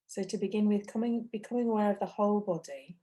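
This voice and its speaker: A woman teaching a guided meditation, speaking gently and unhurriedly, with long pauses between phrases. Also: mid-range voice, steady tone, husky.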